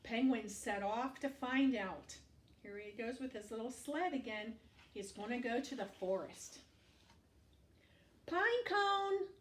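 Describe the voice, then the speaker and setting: A woman storytime teacher reading aloud to young children in an expressive way, adding asides and questions for the listener. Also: a little high, measured pace. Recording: echoey room, distant microphone.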